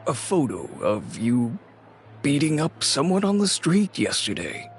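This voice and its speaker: An actor playing a wary, weary businessman in a game, speaking in a measured, dry manner with frequent sighs. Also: mid-range voice, very animated, faint music behind. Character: wary, weary male businessman, dryly polite. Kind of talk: game voice acting.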